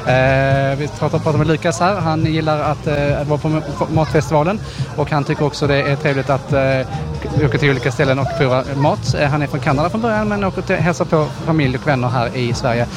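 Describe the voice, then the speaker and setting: Fast-talking man interviewing outdoors. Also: on the high side, steady tone. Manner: halting, many "eh" fillers and restarts. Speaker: street interviewer, radio host. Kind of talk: live street interview, switching to translate. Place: outdoor food festival in a city square. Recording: outdoor festival with loud background music. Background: loud music outdoors.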